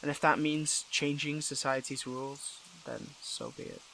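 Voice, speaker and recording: Man acting gravelly, conflicted, tender superhero. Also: fairly high pitch, little pitch movement, sharp tone, slight background noise, rough recording. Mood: resolute, conflicted. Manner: halting, earnest, emotional delivery. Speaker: voice actor in an audio roleplay. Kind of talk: intimate scripted audio roleplay acting.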